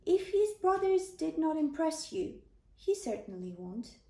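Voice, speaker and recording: Woman reading as an emphatic, high-pitched doubtful father. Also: big pitch swings, a little slow, warm tone, exaggerated voice. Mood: urgent, doubtful. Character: farmer father of many sons, doubtful of his youngest. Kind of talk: children's storybook character. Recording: roomy, distant microphone.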